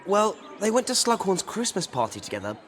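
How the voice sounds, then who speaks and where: Easygoing, animated schoolboy hero. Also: male voice, high-pitched, fairly quick. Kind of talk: video game voice acting.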